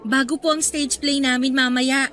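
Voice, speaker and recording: Young female student, teasing, girlish, high-pitched, expressive, radio drama, soft music behind. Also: moderate pace. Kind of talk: Filipino radio drama acting.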